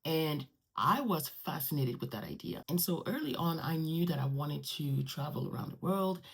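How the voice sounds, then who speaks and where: A woman whose first language is French talks casually to her viewers in a low, husky voice. She is chatty and animated and often breaks into laughter. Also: moderate pace. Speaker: vlogger. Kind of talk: casual chat to camera.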